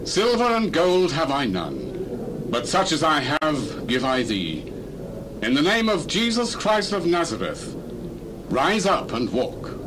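A man's voice: on the high side, huge pitch swings, slow, background noise, heavily acted. A male actor playing a bold, fervent apostle preaching solemnly and emphatically in a dramatized Bible reading. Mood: commanding. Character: Peter, fervent apostle preaching boldly to crowds.

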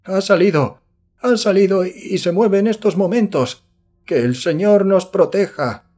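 A man's voice: high voice, measured pace, warm tone, voice pushed up. A man voicing a terrified village man crying out to God in a broken, gasping voice, in a horror audiobook. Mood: panicked. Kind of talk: audiobook character acting.

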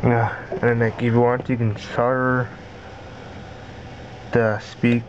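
A man giving a casual DIY tutorial in halting, repetitive, simple phrases, recorded indoors on a handheld camera's built-in mic. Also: mid-range voice. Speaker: amateur maker demonstrating a homemade gadget. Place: carpeted room indoors. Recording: dull lo-fi camera audio, steady noise.

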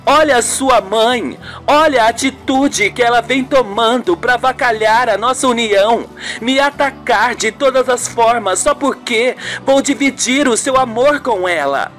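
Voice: medium pitch, a little slow, a bit bright, voice pushed up, lightly acted. A performer voicing a passionate, anguished woman in love in a radio soap opera, earnest and melodramatic. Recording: music behind the voice.

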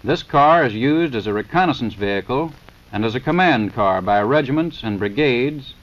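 An American man reading a formal 1930s scripted narration for a training film in a measured, matter-of-fact, instructional manner. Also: mid-range voice, expressive. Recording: dull, lo-fi 1930s film soundtrack.

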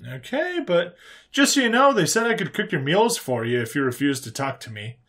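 Breezy human interrogator played by a male narrator, pitched up and swinging, folksy sci-fi storybook good guy. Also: fairly quick. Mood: slyly teasing. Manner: folksy, calm, drawling colloquial phrases.

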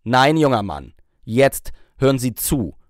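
A man's voice: mid-range voice, very animated, no room sound, studio quality. Man reading as a stern, haughty career woman. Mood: stern, threatening. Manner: stern, condescending, measured. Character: young confident career woman, cutting, commanding, haughty.

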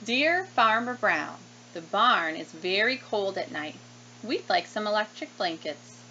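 A storybook cow with firm, polite demands, read out by a woman. The delivery is measured and slow, with a bright tone. The sound is a little dull. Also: mid-range voice, expressive, slight background noise. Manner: measured, deliberate letter-reading. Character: polite but firm cows writing notes to farmer.

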